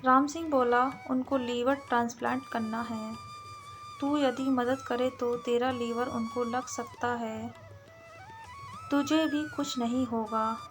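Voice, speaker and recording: Female storyteller, higher-pitched, very unhurried scripted narration over background music. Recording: music behind the voice.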